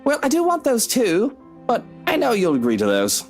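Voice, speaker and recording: A cheeky, flamboyant rich man in a visual novel. His pitch leaps dramatically up and down as he drawls playfully, with music underneath. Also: huge pitch swings, moderate pace, exaggerated voice. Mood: playful, teasing. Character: Luke, cheeky, theatrical rich husband.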